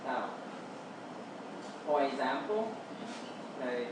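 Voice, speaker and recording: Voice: medium pitch, expressive, slow. Echoey, distant Vietnamese-accented lecturer. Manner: checks understanding with "okay" and "right". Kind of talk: explanatory classroom lecture. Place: roomy indoor space, distant mic, noise behind. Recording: echoey room from far off, noisy.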